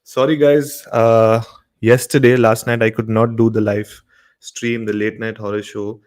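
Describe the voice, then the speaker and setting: A man's voice: on the low side, little pitch movement, unhurried, a little mellow. A man with an Indian accent informally hosting a horror show and telling stories, often repeating words and phrases. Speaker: host of a horror radio show.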